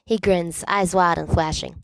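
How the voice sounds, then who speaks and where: A woman's voice: fairly low pitch, fairly even intonation, a little slow, vocal fry, smooth, dry recording. A woman reading a scripted story aloud as an amateur audiobook narrator.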